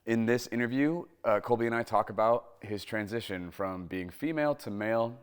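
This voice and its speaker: A man with a fairly low voice speaks as the host of an interview series. He presents in a conversational way, introducing and commenting for the viewer. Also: moderate pace, clean tone.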